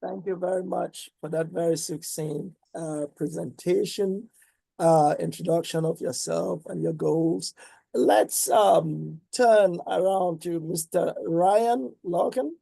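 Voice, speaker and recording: Slow, gravelly-voiced male moderator. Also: on the high side, warm tone, dry recording. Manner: slow, measured, courteous. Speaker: seminar moderator. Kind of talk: formal panel moderation.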